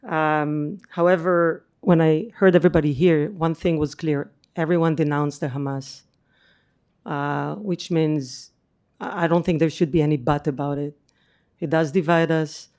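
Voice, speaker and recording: A woman with an Israeli accent giving a measured, earnest public comment. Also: deep voice, fairly even intonation, darkish tone.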